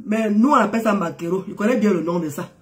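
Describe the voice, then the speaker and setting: A woman's voice: medium pitch, fast talker. A woman with a head cold, chatting casually on a live stream, greeting viewers, teasing and exclaiming with frequent laughs.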